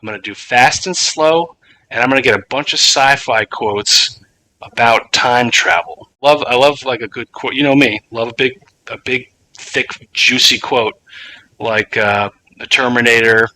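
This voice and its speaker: A man's voice: medium pitch, a little slow, bright, forceful tone, slight background noise, poor audio. A man co-hosting a podcast, chatting casually and enthusiastically about tabletop games. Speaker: podcast co-host. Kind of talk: casual tabletop gaming chat.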